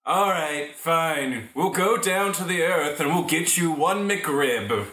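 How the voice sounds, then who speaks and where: A man's voice: a little high, bright, forceful tone, full character voice. A man playing an indulgent, solemn parent from beyond Earth in a spoof radio commercial. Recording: echoey room.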